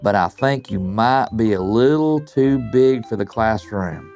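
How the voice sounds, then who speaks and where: A man voicing a cheerful young schoolgirl in a children's storybook, gentle and enthusiastic. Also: lowish voice, expressive, unhurried, soft background music. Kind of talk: children's storybook read-aloud.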